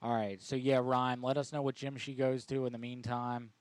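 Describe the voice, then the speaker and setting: A man's voice: mid-range voice, flat delivery, moderate pace, smooth, dry recording. A man casually bantering as host of a live prank call show, with crude, slangy joking.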